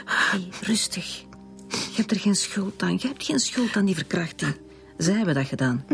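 An actress playing a bitter, protective schoolteacher of forty-three in a Flemish radio drama, speaking with halting emotion. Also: female voice, on the low side, animated, gravelly, soft background music. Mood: gentle, urgent. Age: forties. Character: bitter, protective 43-year-old schoolteacher confessing to killing. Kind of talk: naturalistic radio drama acting.

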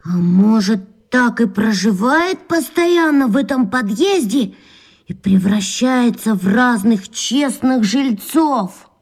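A woman's voice: a little high, expressive, darkish tone, full character voice. A woman voicing an imaginative young schoolboy in a children's radio play, speaking eagerly and spinning theories. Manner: eager, speculative, quick.

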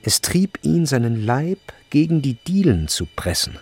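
A man's voice: mid-range voice, big pitch swings, a little slow, slightly rough, soft background music. A man reading scripted literary narration in a radio drama.

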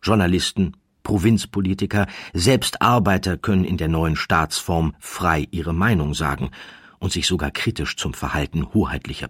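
A man's voice: low-pitched, breathy edge, no echo, studio quality. A man reading a scripted audiobook narration in a theatrical, ironic storytelling manner.

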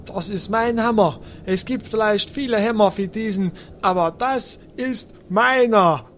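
High-pitched male, acting, fantasy warrior, cocky, stubborn, simple-minded, comic radio play. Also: a little slow, fairly bright tone, a little hiss or hum. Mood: solemn, possessive. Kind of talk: German audio drama parody.

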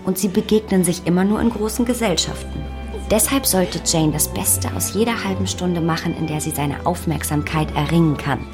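An actress gives us a pragmatic young woman in a radio drama. Her voice is on the low side, matter-of-fact and knowing, the frank confidante with no romantic illusions. Music plays clearly behind her. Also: normal speed, natural voice. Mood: knowing advice. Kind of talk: German radio drama acting.

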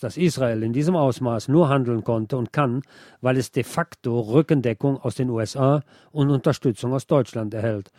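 A man presenting scripted political news commentary in a measured, formal delivery. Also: mid-range voice, mellow, no room sound, clean studio sound.

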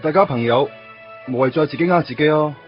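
A man's voice: fairly high pitch, brisk, lightly acted. An actor playing a timid, earnest young man in a radio play, speaking Hong Kong Cantonese and stammering over his words. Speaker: supporting character in a radio play. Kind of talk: 1990s radio drama acting. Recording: dull lo-fi radio recording with music.

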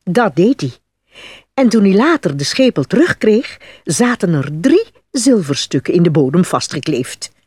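A woman reading scripted fairy-tale narration as an expressive storyteller. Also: lowish voice, moderate pace, husky, no room sound.

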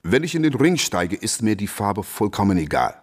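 An actor playing a defiant, cocky American boxing champion in a German radio play, boastful and emphatic. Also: male voice, on the low side, sing-song, moderate pace, breathy edge. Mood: proud, reflective. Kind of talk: German radio play acting, interview monologue.

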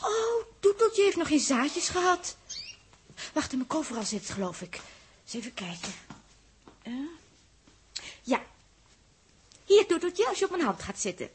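A woman's voice: high voice, big pitch swings, unhurried, long pauses. An actress playing a perky, teasing young woman who coos and chirps in a 1960s radio play. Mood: cooing, teasing. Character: perky, teasing young woman doting on her pet canary. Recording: 1960s radio recording with background hiss.

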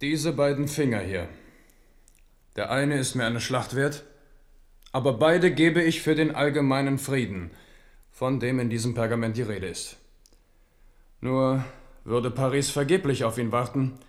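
Casting: male actor for brooding, forceful king, radio drama, mid-range voice, deliberate slow delivery. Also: medium pitch, natural voice. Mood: earnest, firm. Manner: measured, rhetorical, self-questioning. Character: King Henri of France, brooding, proud, forceful warrior. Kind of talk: German radio drama acting.

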